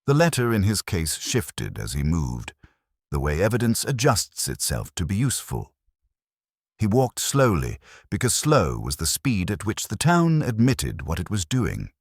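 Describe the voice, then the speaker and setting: Deep voiced guy narrating a wry mystery story, measured but lots of up and down, very dry close sound. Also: slightly rough, dry recording. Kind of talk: scripted literary mystery narration.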